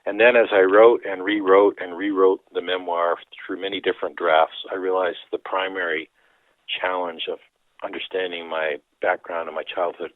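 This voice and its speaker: A man's voice: low-pitched, flat delivery, moderate pace, no room sound. A man in his sixties from Canada, a priest and author, giving reflective interview answers with frequent restarts. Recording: tinny phone-like line.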